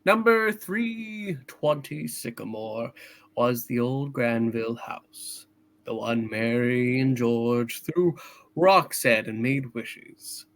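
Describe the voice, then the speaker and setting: A man's voice: mid-range voice, slow. A man reading as the storyteller of a small-town Christmas tale in a scripted radio play. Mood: storytelling.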